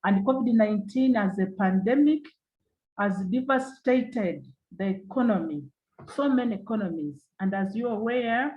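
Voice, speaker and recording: A woman from Uganda with a Ugandan English accent, giving formal opening remarks as a webinar moderator over a video call, halting and repeating her points. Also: mid-range voice, highs cut off.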